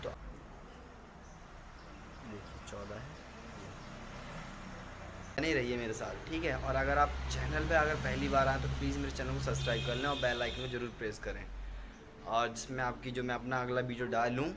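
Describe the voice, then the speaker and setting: A man's voice: medium pitch, steady tone, normal speed, long pauses, noisy background. A young man filming himself outdoors with a phone at arm's length, chatting to viewers and often checking they follow. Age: young adult. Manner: chatty, keeps asking "clear?" and "ठीक है?". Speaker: fitness vlogger. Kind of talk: casual vlog to camera. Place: outdoor wall by plants. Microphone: phone camera at arm's length.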